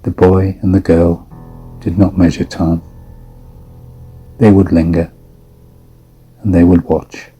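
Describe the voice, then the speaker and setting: A man's voice: deep voice, flat delivery, slow, long pauses, warm tone, breathy edge, faint music behind. A man reading scripted horror fiction as a story narrator.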